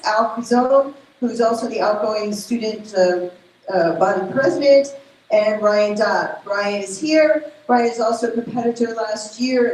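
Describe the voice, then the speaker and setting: A woman's voice: a little high, a little mellow. Spanish-accented woman, echoing hall. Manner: informal, halting, many fillers and self-corrections. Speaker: program director presenting awards. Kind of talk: live event announcements. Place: large event hall. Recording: very echoey hall, faint background noise.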